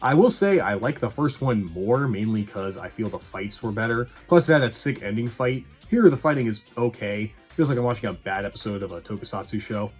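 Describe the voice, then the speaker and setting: A man giving a casual movie review as a video host, blunt, conversational and quick to swear. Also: on the low side, lively intonation, breathy edge. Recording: music behind the voice.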